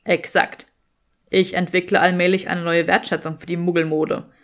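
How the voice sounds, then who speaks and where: A woman's voice: fairly low pitch, flat delivery, normal speed, natural voice. A woman voicing a sardonic, vain young male wizard in an audiobook, with a dry, snooty manner. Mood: amused, snooty.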